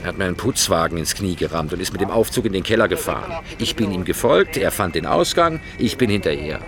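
Deep, defiant law clerk. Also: male voice, animated, fast talker, crowd noise. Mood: dry, defiant. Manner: dry, terse retorts. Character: defiant, dry male law clerk with injured knee. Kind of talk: German radio drama acting. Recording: clear urban ambient noise.

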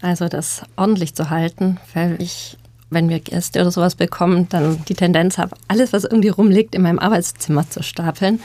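A woman's voice: lowish voice, steady tone, fairly quick, warm tone, no echo. A woman in her thirties, a social psychologist, speaking openly about her own habits as a radio guest. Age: 30s. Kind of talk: casual discussion.

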